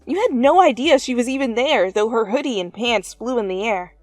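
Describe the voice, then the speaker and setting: A woman's voice: fairly high pitch, fairly quick, darkish tone, no room sound. A woman reading fan fiction aloud as a dramatic second-person story narration. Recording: close, dry, music behind.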